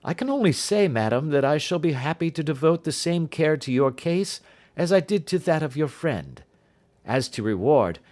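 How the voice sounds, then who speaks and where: Male reader as confident gentleman detective: courteous, precise, reassuring, formal Victorian diction, storybook delivery. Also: mid-range voice, natural voice. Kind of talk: audiobook character voice.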